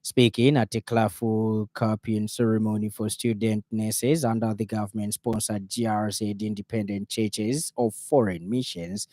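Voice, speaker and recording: Low, mellow Zambian newsreader man. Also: level tone, moderate pace, hardly any pauses, no echo. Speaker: radio news reader. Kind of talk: scripted news bulletin reading.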